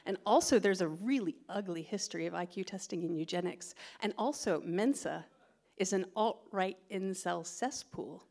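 A middle-aged American woman performs stand-up into a stand mic on a theatre stage. She talks in a chatty, giggly, self-deprecating way, with a pitch that sits in the middle for a woman. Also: gravelly. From California. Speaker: stand-up comedian. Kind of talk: stand-up comedy set. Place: theatre stage before a live audience. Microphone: stand microphone.

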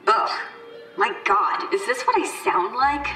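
An American woman playing a flustered, self-conscious teenage girl speaking over a loudspeaker in a video game. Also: fairly high pitch, animated, a little slow, soft background music. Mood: embarrassed. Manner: hesitant, self-deprecating. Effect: loudspeaker, public address. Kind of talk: naturalistic video game dialogue. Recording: echoing, distant outdoor loudspeaker announcement.

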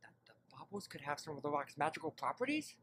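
Low-pitched, hugely varied intonation, guilt-ridden gentle cartoon pony squire acted by a woman, faint noise. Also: very animated, fast talker. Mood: worried. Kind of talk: animated fan drama dialogue.

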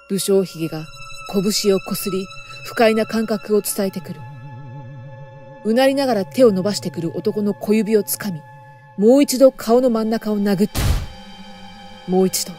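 A woman performing a first-person horror story narration in a theatrical, intense manner, moving between hushed and emphatic delivery. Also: mid-range voice.

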